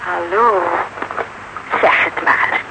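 An actress in a radio drama playing a flippant, breezy, tipsy wife with a teasing, nonchalant manner. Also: female voice, on the low side, steady tone, extremely slow. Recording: noisy radio drama recording.

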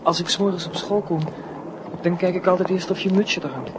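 An actor playing a shy, infatuated teenage schoolboy in a radio drama, speaking in a hurried, earnest way. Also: male voice, high voice, steady tone, natural voice. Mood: shy, devoted. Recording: noisy old radio drama recording.

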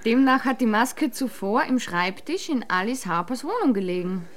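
An actress playing a curious, quick-witted woman in a German radio detective drama, questioning with playful skepticism. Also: fairly high pitch, expressive, close to an everyday voice. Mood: curious, skeptical.

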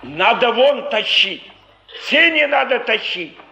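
An actor playing an insistent flophouse lodger who speaks in broken, ungrammatical phrases, in a 1950s Soviet stage drama. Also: male voice, very high-pitched, big pitch swings, slow, sharp tone, exaggerated voice. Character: insistent male flophouse lodger, broken foreign-style speech. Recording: dull, echoey, distant stage recording.

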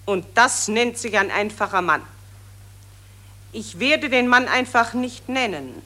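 An actress playing a pompous, insistent female war reporter with a husky voice, in 1940s German radio drama style. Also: on the high side, lively intonation, measured pace, pushed and bright, a little hiss or hum. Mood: indignant. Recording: vintage 1940s radio recording.

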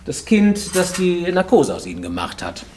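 An actor playing a fugitive healer and visionary dreamer in a radio play monologue, speaking intimately and deliberately. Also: male voice, sits high, very animated, darkish tone, slight background noise. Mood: soothing, eerie. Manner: intimate, deliberate, addressing a listener, dropping to whispers. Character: fugitive Japanese man in Mexico, makeshift healer and tooth-puller, visionary dreamer. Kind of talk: German radio drama monologue.

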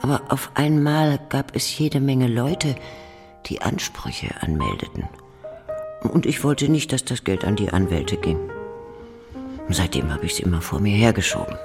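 A woman playing a troubled, candid figure in a radio drama, confiding, over loud background music. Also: rumbling low, sing-song, unhurried, exaggerated voice. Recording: loud music bed behind voice.

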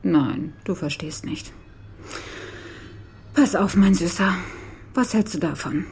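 A woman's voice: on the low side, takes it slowly, a little mellow, slight background noise. An actress playing a scheming, seductive, jealous woman in a German crime radio play, coaxing and taunting, often dropping close to a whisper. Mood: cold, taunting. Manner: coaxing, taunting, drifts into breathy near-whispers. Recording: music bed behind the voice.

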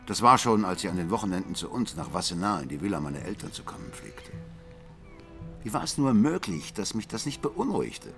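A blind music critic speaks in a low, widely swinging voice. He is sardonic and brooding, confessing in radio play style with music behind him. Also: sing-song, fairly quick, creaky, exaggerated voice. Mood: wistful, obsessive. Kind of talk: radio drama monologue and dialogue.

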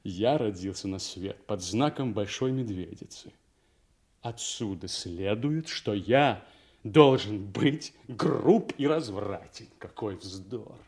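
Edmund, a husky, scheming young man. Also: fairly low pitch, animated, slow pace, a little mellow, full character voice. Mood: scornful, bitter. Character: Edmund, scheming resentful young bastard son, feigning brotherly concern. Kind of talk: theatrical radio drama acting.

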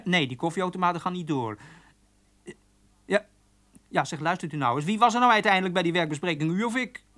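A man's voice: fairly high pitch, pushed and bright. An actor playing a chatty, cheeky young male switchboard operator in a radio drama, breezy and familiar with a chuckling manner. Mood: breezy, businesslike. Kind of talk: naturalistic Dutch radio drama acting.

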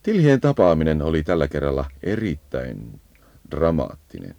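Very deep, mellow male nature narrator. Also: wildly swooping pitch, gravelly, dry recording. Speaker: narrator of an educational birdsong guide. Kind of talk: scripted educational nature narration.

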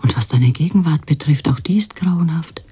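An actress playing a worldly older fortune-teller in a radio drama, cooing endearments and making theatrical, oracular pronouncements in a breathy, husky voice. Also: female voice, sits low, warm tone. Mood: pitying, cooing. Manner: slow, insinuating, oracular, cloying endearments.

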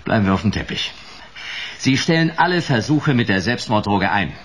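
An actor playing a hard-boiled, wry private detective in a radio crime drama, laconic and dry. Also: male voice, on the low side, big pitch swings, normal speed, a bit bright, slight background noise. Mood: defiant, dry. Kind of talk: 1980s German radio crime drama acting with first-person noir narration.

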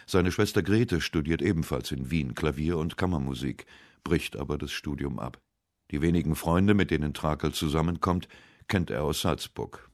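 A man narrating a scripted literary radio essay with measured, deliberate phrasing. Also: very deep voice, dry recording, clean studio sound. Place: dry radio studio.

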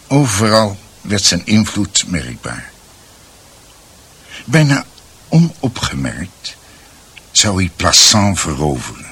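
A reflective storyteller in a radio play, very deep, slow and raspy. He narrates conversationally, pausing to find his words. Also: huge pitch swings, fairly bright tone, exaggerated voice. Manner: deliberate, searching for words. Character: thoughtful male storyteller recounting family history.

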